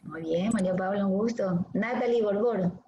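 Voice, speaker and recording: A woman from Guayaquil, a lecturer briskly calling the roll in an online class over a video call. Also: medium pitch. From Guayaquil, Ecuador. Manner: brisk, friendly, calling names. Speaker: dermatology lecturer. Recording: echoey dull video-call audio with faint hiss.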